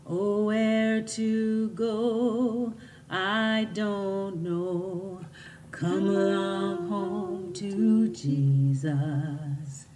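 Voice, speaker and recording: A woman singing a slow gospel spiritual as part of an oratorio. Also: medium pitch, fairly even intonation, dark tone, soft background music. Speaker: gospel singer.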